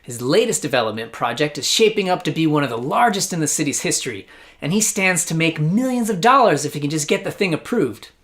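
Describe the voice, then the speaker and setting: A man's voice: a little high, big pitch swings, quick pace, fairly bright tone. An American man hosting a satirical news show, delivering scripted comedic commentary in a sarcastic, mock-incredulous way.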